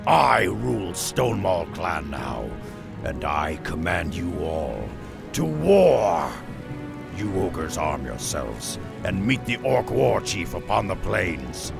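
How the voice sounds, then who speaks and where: A stoic half-ogre warrior in a game cutscene, with an extremely deep, heavily creaking voice. He speaks slowly and solemnly, proud and deliberate, over clear music. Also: very animated, full character voice. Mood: commanding. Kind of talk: video game cutscene acting.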